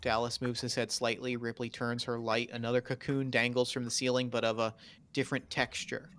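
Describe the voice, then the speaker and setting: A man's voice: mid-range voice, flat delivery, fairly quick, a bit bright. A man narrating screenplay stage directions in dramatic, clipped phrases for a table read.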